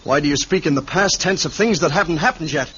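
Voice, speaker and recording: A man's voice: fairly high pitch, fast talker, fairly bright tone, slight background noise, lightly acted. An actor playing an earnest, anguished man in his twenties, hesitant and emotional, in an old-time radio drama. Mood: frightened, confused. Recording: muffled vintage radio recording, music behind.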